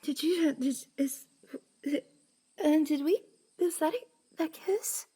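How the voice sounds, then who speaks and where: Woman acting a high-pitched, shy, whispery young woman. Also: takes it slowly, dark tone. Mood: surprised, tender. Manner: breathy, hesitant, sighing, often dropping to a whisper. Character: shy, flustered young woman cosplayer confessing to best friend. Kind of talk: intimate roleplay ASMR audio drama.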